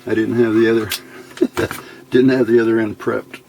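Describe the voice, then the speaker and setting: A man's voice: lowish voice, flat delivery, normal speed, gravelly, a little mellow, highs cut off, a little hiss or hum. A man giving a casual hands-on electronics tutorial, muttering to himself in short fragments as he works indoors at a bench. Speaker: electronics hobbyist demonstrating a build. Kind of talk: casual hands-on tutorial, talking while working. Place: indoor workbench.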